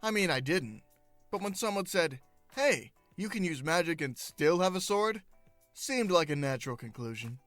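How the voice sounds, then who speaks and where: A woman's voice: lowish voice, expressive, moderate pace, dry recording, soft background music, lightly acted. A woman voicing a laid-back, roguish young sword-fighting student in an audio drama, flippant and dry. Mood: dryly evasive. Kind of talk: audio drama character banter.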